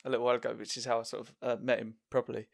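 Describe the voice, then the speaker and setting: A man hosting a podcast, chatting casually with a guest, full of fillers and quick agreeing laughs. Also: medium pitch, little pitch movement, creaky. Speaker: podcast host.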